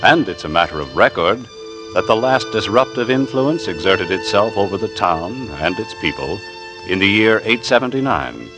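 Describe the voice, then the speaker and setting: A man narrating a 1940s radio drama in a measured, descriptive way. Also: on the low side, expressive. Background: music.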